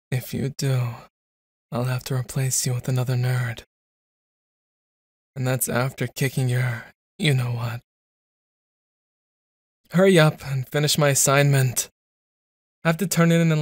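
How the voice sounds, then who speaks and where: A young man talks slowly and deliberately in an intimate boyfriend roleplay. He is brash and teasing, then stumbles into flustered little stammers. His voice sits at an ordinary middle pitch. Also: little pitch movement, dry recording, studio quality.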